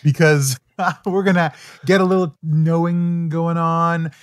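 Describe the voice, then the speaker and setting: A man's voice: fairly high pitch, flat delivery, slow, dry recording. Casting: man from Canada, podcast host, chatty and upbeat, dry close mic. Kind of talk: podcast interview.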